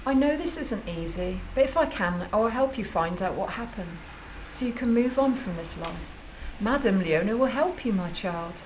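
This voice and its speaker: A woman's voice: medium pitch, animated, moderate pace, background noise, lightly acted. An actress playing an older female spirit medium who speaks in a soothing, motherly way.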